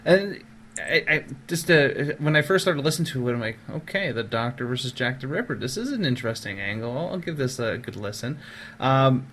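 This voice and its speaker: A man hosts a podcast in an easy conversational style. His voice is medium in pitch, and some light ambient noise sits behind it. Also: sing-song, fairly quick, fairly bright tone. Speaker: podcast host. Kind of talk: casual chat. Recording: noticeable background noise.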